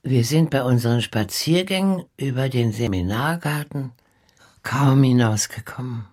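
An older actress playing an elderly former nanny who recalls the past in a reflective, deliberate radio drama monologue. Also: female voice, extremely low pitch, darkish tone. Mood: wistful, grave. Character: elderly former nanny, wistfully recalling pre-war Prague.

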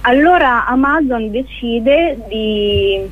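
A woman giving an informal radio interview as a guest actress, speaking hesitantly with searching pauses. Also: on the high side, expressive, slight background noise. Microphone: telephone. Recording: narrow, tinny phone line.

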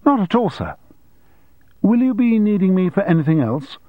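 Man acting a cheeky, high-pitched teenage schoolboy. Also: big pitch swings, moderate pace, no room sound, phone-quality sound. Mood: cheekily candid. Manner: frank, mock-deferential. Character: cheeky, unflappable teenage schoolboy, outwardly polite. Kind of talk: audiobook character dialogue.

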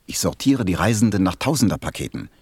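Deep, husky, weary railway switchman. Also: sing-song, fast talker, darkish tone, dry recording, full character voice. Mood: resigned, matter-of-fact. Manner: laconic, resigned. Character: railway switchman, weary, matter-of-fact philosopher. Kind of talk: German radio play acting.

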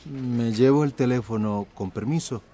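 A man's voice: medium pitch, moderate pace, lightly acted. An actor playing a timid, apologetic male assistant who speaks hesitantly and deferentially in a radio soap opera.

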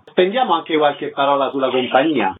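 A man's voice: medium pitch, expressive, moderate pace, a little hiss or hum. A man hosting a radio arts interview, announcing events and putting questions to guests. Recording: narrow phone-like band.

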